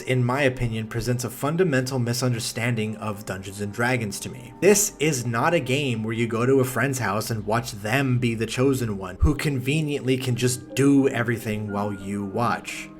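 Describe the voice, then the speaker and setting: A man's voice: mid-range voice, moderate pace, few pauses, soft background music. Sardonic man narrating a film review. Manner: sardonic, profane, exasperated. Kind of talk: video essay narration.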